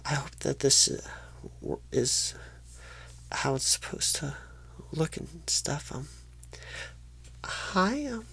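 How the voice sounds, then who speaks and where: ASMR roleplay role for a female performer: bashful, nervous new recruiter, slow and hesitant, slightly low pitch, dry close sound. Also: creaky, husky, exaggerated voice, rough recording. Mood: anxious, fumbling. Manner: halting, stammering, apologetic, hesitant. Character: painfully shy, nervous, bashful rookie job recruiter.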